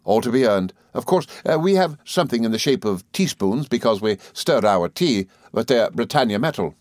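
A man's voice: medium pitch, lively intonation, normal speed, darkish tone, no room sound, clean studio sound, voice pushed up, close to an everyday voice. A man voicing an earnest, cheerful, modest young lawyer in an audiobook, hesitant and effusively polite as he confides. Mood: cheerful pride. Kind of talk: audiobook character dialogue.

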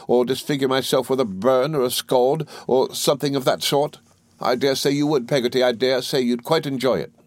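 A man's voice: no room sound, studio quality, close to an everyday voice. A man voicing a distraught young mother, pleading in a raised, tearful voice. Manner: tearful high-pitched pleading.

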